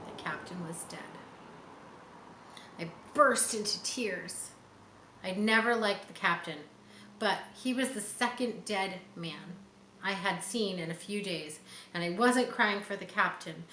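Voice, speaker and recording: A woman's voice: mid-range voice, pushed and bright. A woman in her forties reading a children's book aloud, dramatically and with explanatory asides for young listeners. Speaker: storyteller. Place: bright room by large windows. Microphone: camera a short distance away. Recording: roomy, some background noise.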